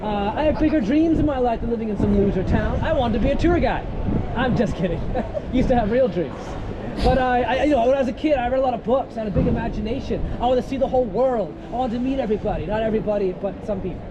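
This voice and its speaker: A man's voice: very high-pitched, quick pace, lo-fi sound, a loud crowd. A Canadian man in his thirties, a tour guide, greeting a group outdoors with a joking, self-deprecating, chatty manner. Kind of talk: guided walking tour talk. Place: outdoors on a city street. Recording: loud traffic noise outdoors. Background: street traffic.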